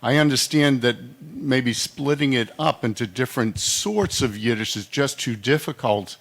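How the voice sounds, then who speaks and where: A man in the audience asking a long, chatty question about learning the software. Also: mid-range voice, moderate pace, vocal fry. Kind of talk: question from the floor.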